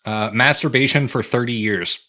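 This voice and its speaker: A man's voice: medium pitch, normal speed, bright, forceful tone. An American man in his twenties co-hosting a podcast with casual, profane, slangy comedic chat. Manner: profane, slangy, often breaking into laughter. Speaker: podcast co-host.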